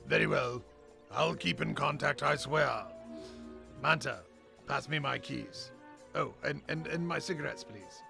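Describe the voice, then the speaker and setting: A man's voice: mid-range voice, unhurried, vocal fry, music in the background. A man voicing a laid-back gorilla supervillain who smokes, in a comedic radio play. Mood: grudging, casual.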